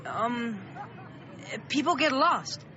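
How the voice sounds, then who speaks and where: High-pitched anxious young boy. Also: big pitch swings, extremely slow, a crowd in the background, noisy background, full character voice. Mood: uneasy. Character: Will, anxious cautious thirteen-year-old small-town boy. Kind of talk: radio drama acting. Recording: dull lo-fi audio under loud music.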